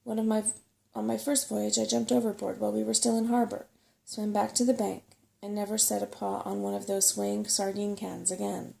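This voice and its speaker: A woman's voice: mid-range voice, flat delivery, moderate pace, creaky, warm tone. A woman voicing a self-satisfied old male rat, a chatty and cautious know-it-all, in a bedtime story. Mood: squeamish, embarrassed.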